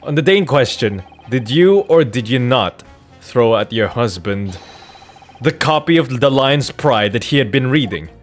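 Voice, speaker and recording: Animated man reading game dialogue dramatically. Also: medium pitch, unhurried, faint music behind. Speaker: video game streamer reading dialogue aloud. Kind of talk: dramatic voiced read-through of a courtroom game.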